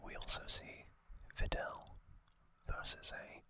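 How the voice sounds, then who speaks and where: An ASMR host whispering trivia, softly repeating single words as triggers and reading from a box cover. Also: slow pace. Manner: reads aloud from a box cover.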